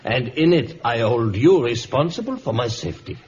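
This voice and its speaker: Smug lieutenant acted by a man, scheming, formal, very lively intonation, old radio drama, hissy recording. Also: medium pitch, lo-fi sound, natural voice. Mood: smug, threatening. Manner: formal, insinuating, deliberate phrasing. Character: scheming, smug colonial lieutenant, coolly threatening. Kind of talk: vintage radio drama acting.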